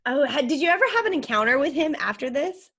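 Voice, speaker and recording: A woman's voice: high voice, lively intonation, fast talker, a bit bright. A young woman co-hosting a podcast in casual chat, informal, often reacting in short interjections. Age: young adult. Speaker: podcast co-host.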